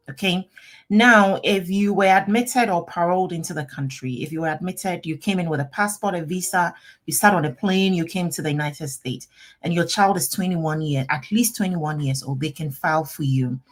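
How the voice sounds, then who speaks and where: Chatty woman attorney. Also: lowish voice. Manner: chatty, animated, addresses viewers directly. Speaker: immigration attorney. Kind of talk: live-stream Q&A, reading out viewer comments.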